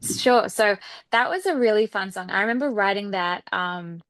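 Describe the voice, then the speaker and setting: A woman with an Australian accent chatting casually as an interview guest, often softening points with filler phrases like "kind of". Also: medium pitch, moderate pace, no echo. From Sydney, Australia. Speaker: guest singer-songwriter.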